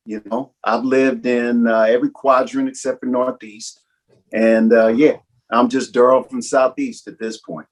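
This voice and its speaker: A man with an everyday mid-range voice chats casually over a video call. He tells his story in a relaxed, slangy way. He is a rapper and guest on the show. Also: medium pitch.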